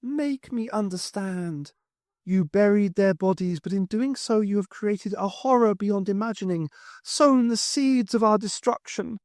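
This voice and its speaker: Morag, a man voicing a Highland ghost wife. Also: unhurried, no room sound, studio quality. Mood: sorrowful accusing. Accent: Scottish Highland. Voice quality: sing-song. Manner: accusing, sorrowful. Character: grieving pious Highland wife's ghost, accusing.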